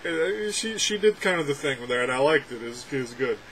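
A young adult man reviewing a film from a room at home, talking sarcastically with animated, exaggerated outbursts. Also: mid-range voice, a little fast, a little hiss or hum, rough recording. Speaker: movie reviewer. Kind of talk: casual ranting film review. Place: home room with packed shelves, indoors. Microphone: webcam framing at desk.

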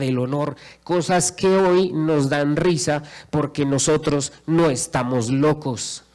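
A man's voice: fairly high pitch, darkish tone. Fervent Colombian man lecturing on stage. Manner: rhetorical, repeats phrases for emphasis, paces the stage. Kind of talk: impassioned public speech. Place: large auditorium stage. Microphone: handheld microphone.

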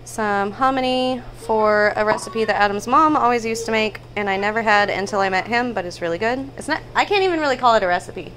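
A woman in her thirties speaks in a fairly high voice. She chats informally, listing items as a vlog host. There is some household noise behind her. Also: brisk, hardly any pauses. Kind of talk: casual chatty grocery haul narration. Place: indoors in a home kitchen.

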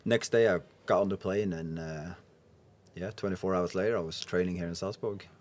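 A young man talks in a very low-pitched voice. He speaks English as an in casual podcast conversation, as a guest who plays football. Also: fairly even intonation, brisk. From Norway. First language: Norwegian. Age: 20s. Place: indoor lounge booth. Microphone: podcast microphone on boom arm.

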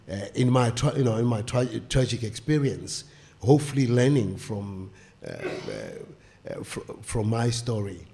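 An older man, a guest author on a stage panel, speaking haltingly with many fillers into a stand mic. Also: medium pitch, creaky, dark tone. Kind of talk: panel discussion. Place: stage of a small indoor venue.

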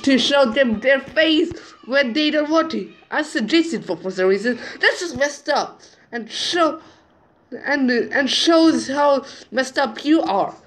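High-voiced, slow-reading woman. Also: low-quality recording. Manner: slow, halting reading with muttered asides. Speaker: channel owner. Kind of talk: reading out group chat messages over a screen recording.

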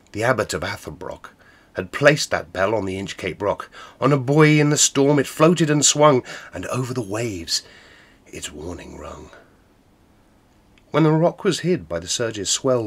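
A man storyteller reciting a narrative ballad in a theatrical manner, swelling from hushed to booming.

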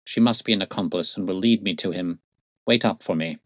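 Erudite gentleman detective for an audiobook, keen and clipped, musing delivery, dry sound, read by a male narrator. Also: sits low, fairly quick, no echo, close to an everyday voice. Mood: hurried. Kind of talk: audiobook character voice.

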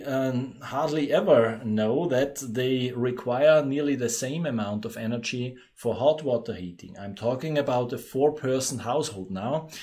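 Austrian guy giving a webinar talk, mid pitch, lots of uh and um. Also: warm tone. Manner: explanatory, many fillers and restarts. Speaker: webinar presenter. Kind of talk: business webinar presentation.

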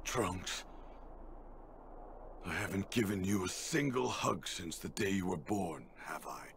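Low, slow, proud warrior father. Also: animated, creaky, a bit bright, slight background noise, exaggerated voice. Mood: grave, tender. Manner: grave, deliberate. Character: proud, stern warrior father, grown man. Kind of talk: anime video game dub acting.

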